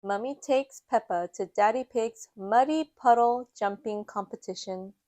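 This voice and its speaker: A woman reads a children's picture book aloud. Her voice sits a little high, and her storytelling is measured and slow, with hardly any room sound. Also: darkish tone, no echo. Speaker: storytime reader.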